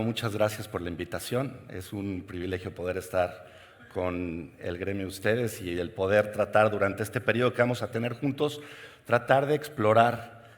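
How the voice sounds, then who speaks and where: A middle-aged Mexican man delivers a keynote in a large conference hall, his lowish voice carrying a long reverb. He speaks in a personal, engaging way with a Mexican Spanish accent and puts questions to the audience.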